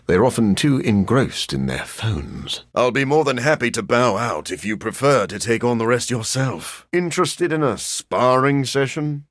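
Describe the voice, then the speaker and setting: An actor voicing a brooding, dryly witty gentleman vampire scientist in a video game, with a formal, measured, theatrical delivery. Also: on the low side, dry recording, studio quality. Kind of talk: scripted video game character lines.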